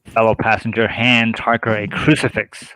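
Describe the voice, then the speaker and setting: A man's voice: on the low side, level tone, normal speed, bright, forceful tone, a little hiss or hum, poor audio. A man reading stage directions as scripted narration for an amateur drama table read.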